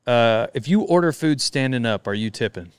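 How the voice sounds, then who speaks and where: Young podcast host guy, polite, smooth voice, says yes sir a lot. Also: medium pitch, animated, moderate pace, no echo. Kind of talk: casual interview chat.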